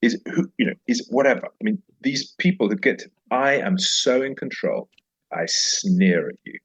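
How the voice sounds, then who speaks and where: A man's voice: mid-range voice, expressive, moderate pace, slightly rough, poor audio. A man speaking reflectively as an interview guest, emphatic and repeating phrases for effect. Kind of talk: reflective interview conversation with recited verse.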